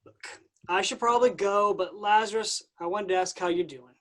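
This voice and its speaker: An actor playing Jesus as a casual, awkward, upbeat buddy in a comic staged play reading. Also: male voice, sits high, moderate pace, a bit bright. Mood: awkwardly caring. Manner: breezy, informal.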